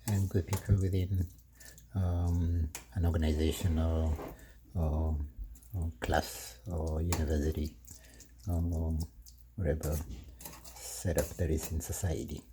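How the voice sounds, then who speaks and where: Deep-voiced man, slow and rambling. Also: little pitch movement, noisy background. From Kenya. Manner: slow, rambling, with many fillers and soft laughs. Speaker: vlogger. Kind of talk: casual cooking vlog monologue. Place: home kitchen.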